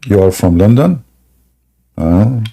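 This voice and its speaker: A man hosting a live call-in debate, mocking and laughing often, with a sore throat and frequent throat clearing. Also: on the low side, animated, slow pace, warm tone. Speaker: host of a religious debate stream. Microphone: something in his mouth may touch the mic.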